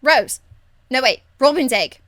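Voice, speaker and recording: Impish young elf thief, high bouncy pitch, irreverent, teasing, blunt, video game character. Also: female voice, animated, unhurried, a bit bright, no echo, studio quality. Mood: gleeful. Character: cheeky crude young female elf thief, playful and mocking.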